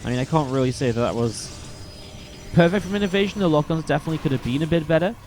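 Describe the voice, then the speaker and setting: A man commenting play by play on a strategy video game match, a little sniffly from a cold, steady and then more excited in the fights. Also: a little high, quick pace, slight background noise. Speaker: esports caster.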